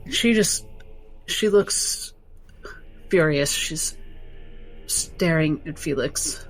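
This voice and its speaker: A woman's voice: low voice, very slow, faint music behind, low-quality recording. A woman playing a tabletop roleplaying game, improvising a description of her character.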